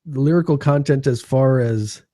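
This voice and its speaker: A man's voice: medium pitch, moderate pace, mellow, no room sound, clean studio sound. A man co-hosting a casual live chat show, quizzing his partner on a song countdown in a laid-back, chummy way.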